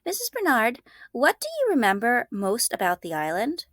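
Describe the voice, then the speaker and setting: A woman voicing a helpful, chatty girl cousin in a storybook, animated and reassuring. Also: a little high, normal speed, dry recording, studio quality, exaggerated voice. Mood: friendly, animated.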